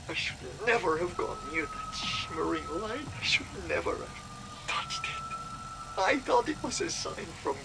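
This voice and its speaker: An actor playing a lost, hunted and despairing man stranded in an otherworld, speaking a halting, fearful monologue for a game audio log. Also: exaggerated voice. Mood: anguished regret. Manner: halting, haunted, fearful delivery. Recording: noisy radio log with engine-like ambient rumble.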